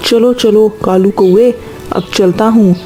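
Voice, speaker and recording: Cartoon crow dad, caring and plain-spoken, mellow high-ish voice female performer, light music underneath. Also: fairly even intonation, measured pace, natural voice, poor audio. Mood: hungry, pleased. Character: adult male crow, a caring family father. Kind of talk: Hindi cartoon story dialogue.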